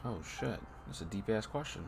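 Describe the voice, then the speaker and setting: A man's voice: on the low side, expressive, fairly quick, gravelly, slight background noise. A young man giving casual, slangy, hyped reaction commentary as a streamer over a game. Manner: hype, slangy interjections.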